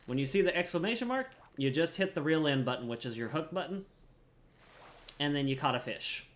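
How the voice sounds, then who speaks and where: A man's voice: fairly high pitch, lively intonation, fairly quick, a little hiss or hum. A man giving casual gameplay commentary, explaining things informally and thinking aloud as he plays. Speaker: gamer, streamer explaining gameplay.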